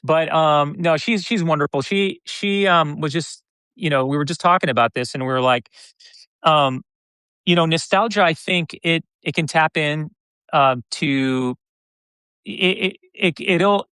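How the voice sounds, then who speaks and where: An American man in his fifties talking casually as an interview guest, often halting and restarting his phrases. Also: on the high side, sharp tone, clear, resonant tone, no echo. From United States (Detroit). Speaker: podcast guest, content creator.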